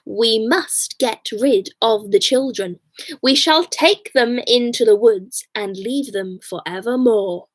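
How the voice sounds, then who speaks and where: A woman's voice: on the high side, takes it slowly, no room sound. A woman voicing a horrible, bossy, scheming stepmother in a bedtime story.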